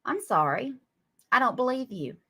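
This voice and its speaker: A woman's voice: fairly high pitch, very animated, moderate pace, pushed and bright, husky. A woman, scolding and mocking her critics on a live stream in a sarcastic drawl. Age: 40s. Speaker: livestream guest.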